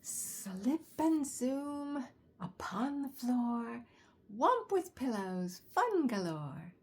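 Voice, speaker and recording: A woman reading a children's picture book aloud, expressively, with drawn-out words and chatty asides and questions to young listeners. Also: a little high, big pitch swings, darkish tone, a little hiss or hum.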